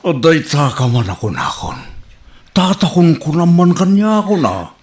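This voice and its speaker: A man's voice: fairly high pitch, big pitch swings, normal speed, a little mellow. A man playing a gushing, clownish sweetheart with an Ilocano Filipino accent and an exaggerated comic manner. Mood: giddy, delighted. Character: giddy, clownish male sweetheart, gushing with love. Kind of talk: Ilocano radio comedy drama acting.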